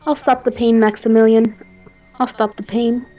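A high but mellow-voiced madwoman played by a woman, formal and imperious, in gothic radio drama. The recording is slightly dull. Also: flat delivery, normal speed, soft background music, close to an everyday voice. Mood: doting, soothing. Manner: formal, theatrical. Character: imperious, obsessive mad woman scientist, doting and cold. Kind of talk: gothic radio play acting. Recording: dull, muffled home recording.

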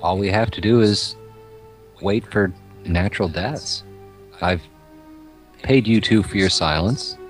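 A man's voice: fairly low pitch, takes it slowly, pauses often, faint music behind. A man playing a grieving, obsessed and sinister reborn doctor in an amateur horror radio play, solemn and theatrical. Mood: calm, sinister resolve.

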